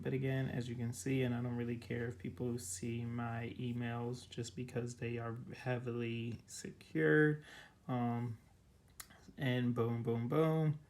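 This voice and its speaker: A young adult vlogger with a raspy, cracking voice, casually walking through an app in a rambling way, full of ums and likes. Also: mid-range voice, a little mellow. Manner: rambling, filler-heavy, with many ums and likes. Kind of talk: casual screen walkthrough.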